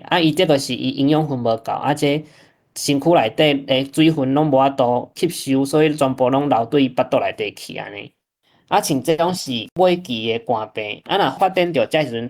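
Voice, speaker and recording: A man guest giving calm explanatory commentary. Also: medium pitch.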